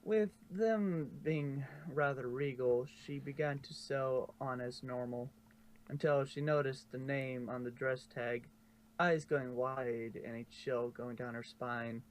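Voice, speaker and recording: A man narrates a fan story as if from a storybook, at a middling pitch. He is informal and fixes his slips as he goes. The home recording has some noise behind him. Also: slow pace, rough recording. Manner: informal, stumbles and corrects himself. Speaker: fanfiction narrator. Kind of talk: storybook narration read aloud.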